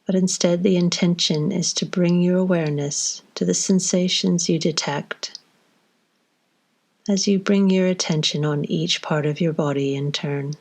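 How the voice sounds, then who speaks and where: A woman leading a guided body scan meditation, giving slow, gentle instructions with long pauses between phrases. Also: on the low side, steady tone.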